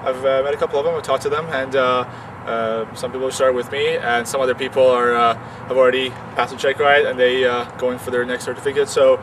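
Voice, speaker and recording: Hesitant young man, distant outdoor mic. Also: medium pitch. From Iran. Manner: hesitant, many uhs, quickening as he gets excited. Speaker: student pilot. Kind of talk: outdoor interview. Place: outdoors on an airfield tarmac. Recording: distant outdoor mic, clear background noise.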